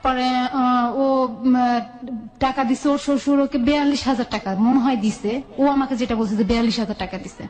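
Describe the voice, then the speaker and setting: A woman's voice: sits high, fairly even intonation, normal speed, darkish tone. A woman with a Bangladeshi accent telling her own life story in a colloquial, conversational way, often quoting dialogue back and forth.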